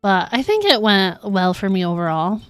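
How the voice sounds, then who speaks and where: A woman's voice: mid-range voice, big pitch swings, normal speed, fairly bright tone, smooth, dry recording, faint music behind. A woman livestreaming a game, chatting casually and informally with her viewers. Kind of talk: casual chat while streaming gameplay.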